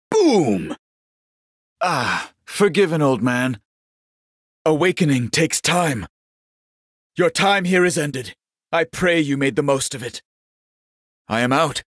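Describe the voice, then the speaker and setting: A man voicing a genial, vain old warrior monk in a video game, speaking in a measured, courtly and wryly pious manner. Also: mid-range voice, a bit bright, husky, no echo, clean studio sound, full character voice. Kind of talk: scripted game character voice lines.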